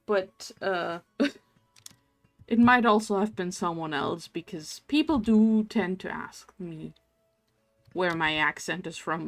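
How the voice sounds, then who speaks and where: A German woman speaks English with a blended, hard-to-place accent, chatting to viewers as she plays. She talks slowly and murmurs her way through tasks. Soft music plays faintly behind her. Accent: mixed English accent, no clear origin. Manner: slow, thinking aloud while playing, low-energy murmurs. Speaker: streamer. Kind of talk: casual game livestream chat.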